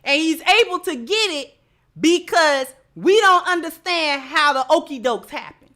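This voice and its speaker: A woman's voice: high-pitched, lively intonation, unhurried, bright, forceful tone. A woman hosting a livestream with impassioned commentary, speaking emphatically and repeating phrases to drive points home. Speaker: livestream host. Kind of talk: impassioned livestream commentary to an audience, reading out chat messages.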